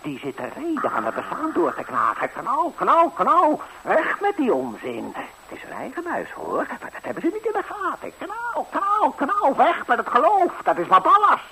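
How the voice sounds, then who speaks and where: A man's voice: very high voice, wildly swooping pitch, moderate pace, hardly any pauses, sharp tone, heavily acted. A male actor playing a grumpy, cynical old devil in a radio fairy tale, grumbling and exclaiming with scorn. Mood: irritable. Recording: faintly noisy 1960s radio recording.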